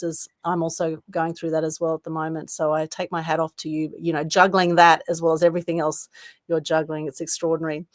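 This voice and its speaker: A woman's voice: on the low side, no echo, studio quality. A woman hosting an online interview show, introducing and praising her guests with enthusiasm. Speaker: talk show host.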